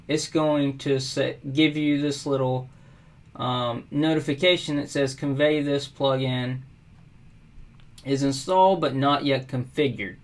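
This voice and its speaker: A middle-aged man from South Carolina walking through a software setup at his desk, relaxed and unhurried, with frequent ums and uhs. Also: medium pitch. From South Carolina, USA. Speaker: WordPress tutorial host. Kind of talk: casual screen-recorded software tutorial. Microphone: webcam overlay at desk.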